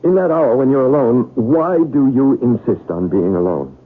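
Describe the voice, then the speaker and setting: An actor playing a worried, insistent husband and professor, speaking earnestly in an old-time radio drama style. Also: male voice, mid-range voice, expressive, normal speed, slightly rough. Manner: earnest, urgent. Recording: tinny, noisy old radio broadcast.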